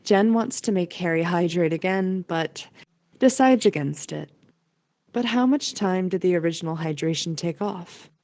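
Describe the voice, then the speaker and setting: A woman narrates an audio play in a mellow, somewhat low voice. Her scripted storytelling is recorded very dry and close. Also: moderate pace, no room sound.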